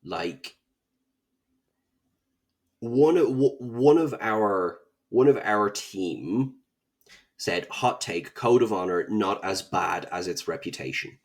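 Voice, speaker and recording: A man in casual interview chat, with a fairly low voice that swings widely in pitch. He talks in an animated, playfully dramatic way, as a guest on a podcast. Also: slow pace, long pauses.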